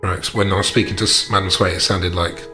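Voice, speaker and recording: A man taking part in a tabletop roleplaying game as a player, asking and describing things in a deliberate, methodical way. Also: deep voice, flat delivery, sharp tone, soft background music.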